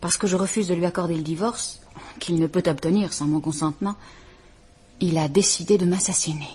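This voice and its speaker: An actress playing a frightened, formal estranged wife who is visiting a police inspector, speaking in a restrained, measured way. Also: female voice, lowish voice, mellow, slight background noise, close to an everyday voice. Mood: fearful, measured. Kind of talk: 1950s French radio drama acting.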